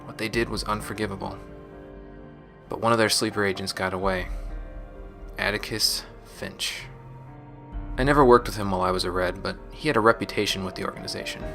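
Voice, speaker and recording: A man playing a resolute, guilt-burdened space marine, recording a measured, solemn confessional audio log. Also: fairly low pitch, vocal fry. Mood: bitter, resolute. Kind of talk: scripted sci-fi audio log monologue. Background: music.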